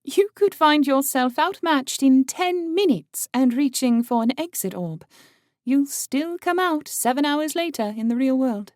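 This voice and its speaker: A man's voice: expressive, a little slow, no room sound, studio quality. A man voicing a blunt young woman adventurer in an audiobook, explaining things patiently. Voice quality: high, light voice. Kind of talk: audiobook character voice.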